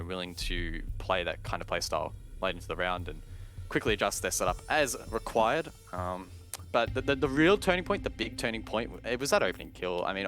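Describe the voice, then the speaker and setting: A man commentating live on an esports match in a measured, analytical way. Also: sits low, a bit bright. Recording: game gunfire and clicks behind voice. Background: game gunfire, explosions and clicks.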